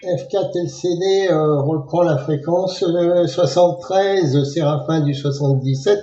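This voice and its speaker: A man working as an amateur radio operator, speaking casually and spelling out call signs in a measured way. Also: a little high, mellow, echoey room, lo-fi sound, faint music behind. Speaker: amateur radio operator filming for his channel.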